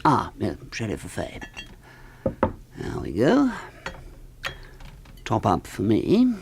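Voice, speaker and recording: An actor playing a jovial, flippant British husband in a radio drama, with breezy, jokey, old-fashioned turns of phrase. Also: male voice, on the low side, huge pitch swings, slow pace, gravelly, noisy background, full character voice. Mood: self-satisfied. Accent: British English. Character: jovial, flippant middle-class British husband, joking electronics man.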